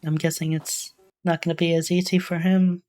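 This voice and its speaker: Female reaction host, notably low register, flat delivery, casual and tentative, reasoning things out as she goes. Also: moderate pace, faint music behind. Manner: musing, tentative, thinking aloud. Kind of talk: casual off-the-cuff reaction commentary.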